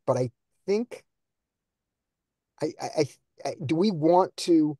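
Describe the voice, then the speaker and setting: Mellow-voiced man coaching poker hands. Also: mid-range voice, no room sound, clean studio sound. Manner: analytical, thinks aloud, quizzes the group. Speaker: poker coach leading a study session. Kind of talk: explaining hands on an online video call.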